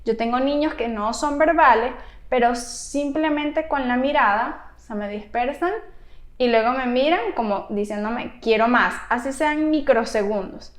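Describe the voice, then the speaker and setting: Female therapist for a parent advice video: high voice, unhurried, emphatic and animated, roomy sound. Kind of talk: explanatory video advice to camera. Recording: roomy, echoey room sound.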